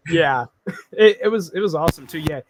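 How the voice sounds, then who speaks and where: Casting: male host, a bit higher voice, easygoing, lots of filler words. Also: brisk, a crowd in the background, poor audio. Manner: relaxed, laid-back, lots of "yeah" and "like". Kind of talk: casual chat.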